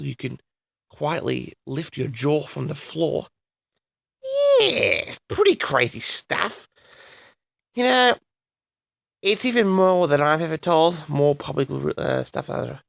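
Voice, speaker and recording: Theatrical man with a heavily creaky voice. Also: on the high side, a bit bright, no echo. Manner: theatrical, addresses listeners as audience, drawn-out words. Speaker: host of a personal audio log. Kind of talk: rambling solo monologue to an audience.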